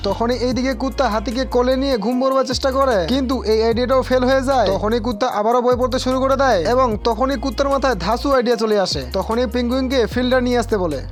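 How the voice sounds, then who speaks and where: A man narrates a comic cartoon recap at a fast clip. His storytelling is colloquial and joking, with music clearly running behind him. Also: very high voice.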